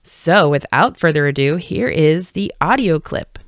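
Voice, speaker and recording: A woman presents a podcast in a low-pitched voice. She explains things in a casual, enthusiastic way, recorded dry and close to the microphone. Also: lively intonation, unhurried, dry recording. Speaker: podcast host.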